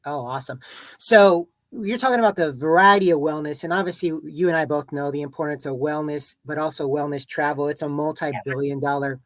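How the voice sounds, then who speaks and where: A man with a Texan accent hosting a podcast interview, upbeat and a little halting, repeating words as he goes. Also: on the high side, dry recording. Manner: upbeat, stumbles and repeats words, says "obviously" and "mm-hmm". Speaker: podcast host.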